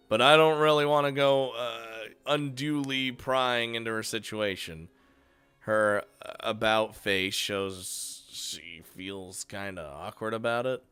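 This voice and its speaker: A man's voice: mid-range voice, normal speed, a bit bright, smooth, dry recording, faint music behind. A man giving casual let's play commentary over a visual novel, swearing and laughing often.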